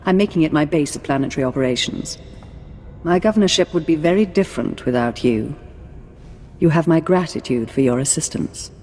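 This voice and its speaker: An actress playing a haughty, seductive female Sith lord in a video game, deliberate and sultry. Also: sits low, lively intonation, darkish tone, a little hiss or hum. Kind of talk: dramatic video game dialogue. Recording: long reverb, music behind.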